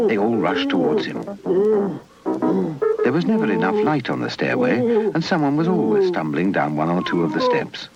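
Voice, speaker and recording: A British man narrating a scripted children's puppet story in an animated, dramatic way. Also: fairly low pitch. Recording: dull lo-fi 1980s VHS tape. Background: music.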